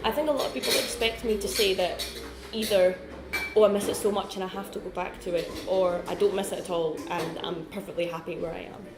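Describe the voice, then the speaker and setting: Young woman, rapid chatty delivery, echoey room, crowd and dishes behind. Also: medium pitch, fairly even intonation. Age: young adult. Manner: quick, chatty, frequent fillers. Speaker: interviewee. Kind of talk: casual personal chat.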